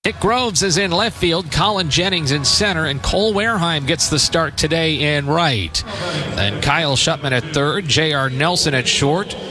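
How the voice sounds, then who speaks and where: A man doing live radio play-by-play of a baseball game in short, punchy, descriptive phrases. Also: fairly high pitch. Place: open-air stadium press perch.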